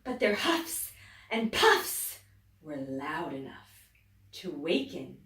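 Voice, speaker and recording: A woman teacher reading a children's story aloud, expressive, dropping to whispers and rising to loud exclaims. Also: deep voice, takes it slowly. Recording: echoey room, distant mic.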